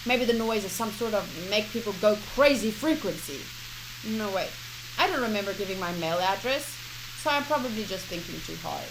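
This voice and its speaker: A woman streamer playfully reading visual novel text aloud, with casual asides in an expressive delivery. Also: mid-range voice, noisy background. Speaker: game streamer. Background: game music.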